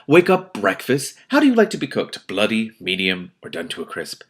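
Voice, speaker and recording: A man voicing a gruff, contemptuous male ogre who taunts his prey in a storybook. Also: lowish voice, huge pitch swings, normal speed. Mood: menacing relish.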